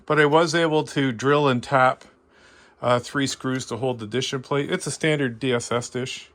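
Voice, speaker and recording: A Canadian man gives an informal tour as a hobbyist, speaking off the cuff. His voice is mid-pitched and notably bright and forward. He comes across as modest and self-deprecating, explaining things casually. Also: fairly even intonation, normal speed. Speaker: amateur radio hobbyist giving a tour. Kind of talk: casual unscripted walkthrough.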